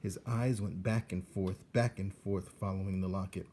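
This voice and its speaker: A man narrating a storybook aloud as a teacher would, with deliberate, dramatic phrasing. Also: low voice, fairly even intonation, warm tone, slight background noise.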